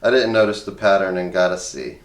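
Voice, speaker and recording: A man's voice: low-pitched, animated, brisk. A man reading a comic memoir aloud in a wry, conversational audiobook style. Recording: roomy, echoey voice recording.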